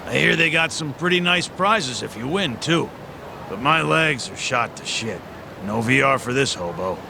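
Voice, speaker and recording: Gravelly, chatty homeless guy. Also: medium pitch, lively intonation, normal speed, noisy background. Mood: chatty, wheedling. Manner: slangy, folksy, familiar. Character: chatty, folksy homeless man trading tips and favors. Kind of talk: video game cutscene acting.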